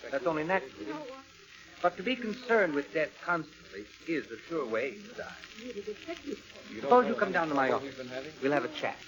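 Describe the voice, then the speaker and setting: An actor playing a calm, probing psychiatrist in a 1940s radio drama, measured and clinical, on a muffled, crackly recording. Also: male voice, fairly high pitch, crowd noise. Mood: probing, reassuring.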